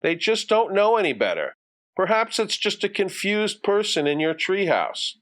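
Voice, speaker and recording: A man voicing a condescending mother in a storybook, patiently explaining and brisk. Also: sits high, very animated, fairly bright tone, voice pushed up. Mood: patronizing. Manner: patronizing, explanatory. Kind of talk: storybook character voice.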